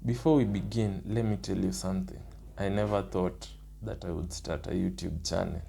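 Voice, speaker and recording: A young man with a Kenyan accent, his voice rough from the early morning, talking through his points one by one in a casual vlog. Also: low voice, steady tone, normal speed, warm tone. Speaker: nursing student.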